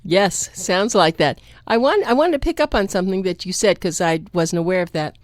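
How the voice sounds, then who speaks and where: A woman's voice: on the low side, lively intonation, a little fast, no echo. A woman hosting a radio interview, asking questions and giving short affirmations. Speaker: radio show host.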